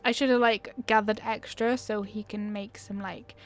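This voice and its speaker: A woman's voice: mid-range voice, steady tone, moderate pace, faint music behind. A woman doing casual let's-play commentary on a video game, informal and thinking aloud as she plays. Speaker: gaming YouTuber. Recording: clear background noise.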